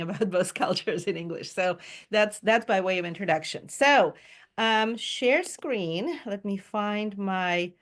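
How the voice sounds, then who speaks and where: A woman presents to her reading group over a video call, chatty and eager. She talks in an easy conversational way, often pausing on ums and letting small laughs slip in. Her voice sits at an ordinary middle pitch for a woman. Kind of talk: casual Zoom presentation.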